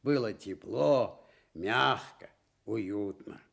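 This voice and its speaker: A man narrating a children's fairy tale for radio as an expressive, scripted storyteller. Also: fairly low pitch, very slow, creaky.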